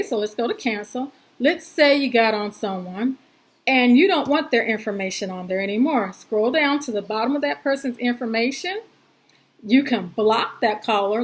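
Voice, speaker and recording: Higher-voiced woman giving a folksy phone tutorial. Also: poor audio. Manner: chatty, folksy, reassuring plain talk. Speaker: tutorial presenter. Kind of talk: informal step-by-step phone tutorial.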